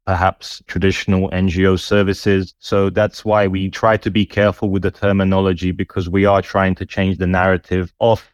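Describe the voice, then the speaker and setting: A man in his thirties, raised in the UK, answering interview questions in a measured, reflective way. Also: sits low, little pitch movement, dry recording, dull audio. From born in former Yugoslavia, raised in the UK. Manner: measured, reflective, with some fillers. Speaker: podcast guest, nonprofit founder.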